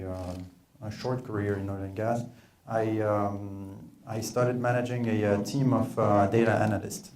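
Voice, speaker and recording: A man's voice: fairly low pitch, a little mellow, a little hiss or hum. A man in his thirties with a French accent, giving a workshop presentation with many hesitant "uh"s. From France. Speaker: presenter, PhD student. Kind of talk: conference presentation.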